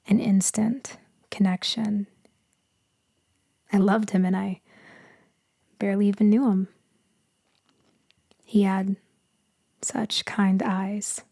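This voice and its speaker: Woman acting as a gentle immortal vampire woman, soft creaky voice, close and dry, wistful ASMR storytelling, frequent whispers. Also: mid-range voice, dry recording, heavily acted. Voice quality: soft, breathy, often whispering. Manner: slow, hushed, wistful, intimate. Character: gentle, melancholy young-looking immortal female vampire from New York. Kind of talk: storytelling sleep ASMR roleplay.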